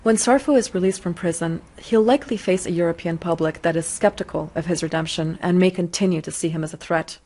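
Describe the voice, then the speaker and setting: A woman's voice: on the low side, fast talker, hardly any pauses, warm tone. A woman reading scripted narration for a news report.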